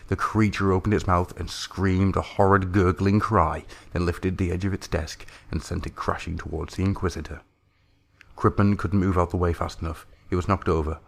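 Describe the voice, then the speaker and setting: A male audiobook narrator with a deep voice and a frayed, creaky edge. He reads dark science-fiction horror in a grave and theatrical manner.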